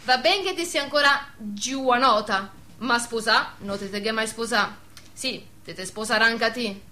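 An actress playing an affectionate, boastful emigrant widow back from America, speaking with a Veneto accent in a radio play. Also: female voice, fairly high pitch, normal speed, pushed and bright. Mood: boastful, sentimental. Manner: self-important, dropping in English words. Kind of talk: dialect radio theatre dialogue.

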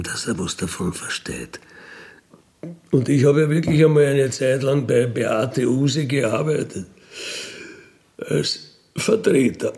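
A man's voice: medium pitch, gravelly, darkish tone. A middle-aged man with an Austrian accent, speaking in a candid, conversational monologue, matter-of-fact and often checking in with short tag questions. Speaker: ex-convict burglar telling his life.

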